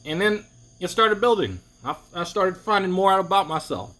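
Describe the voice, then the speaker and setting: Opinionated man with a lively, high-pitched voice. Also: moderate pace, low-quality recording. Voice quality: deep voice. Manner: opinionated, rambling, with repeated restarts. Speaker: vlogger. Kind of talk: casual monologue to camera.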